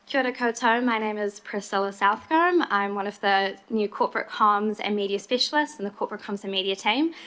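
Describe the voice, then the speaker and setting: Woman with a New Zealand accent, bright sharp tone, friendly self-introduction with small restarts. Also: mid-range voice, brisk, few pauses. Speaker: corporate communications specialist.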